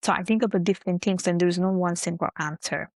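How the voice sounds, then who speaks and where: A young woman with an Italian accent talks things through in an even, fairly low voice. She leans on fillers like "like" and "you know" as she reflects. The sound is close and dry. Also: fast talker, no echo. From Italy. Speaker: guest. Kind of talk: casual interview chat.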